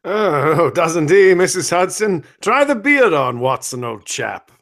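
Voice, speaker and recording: A man's voice: fairly high pitch, big pitch swings, takes it slowly, creaky, fairly bright tone, heavily acted. Guy doing posh-mannered teasing detective, amused, swoopy voice, old radio drama style. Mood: teasing, genial.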